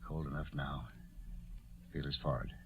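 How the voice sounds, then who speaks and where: A man's voice: very deep voice, fairly even intonation, normal speed, a bit bright. A man playing a cold, scheming gambler with clipped, calculating delivery in a 1940s radio drama. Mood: low, tense. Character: cold, scheming male gambler plotting a murder cover-up. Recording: dull vintage 1940s radio recording.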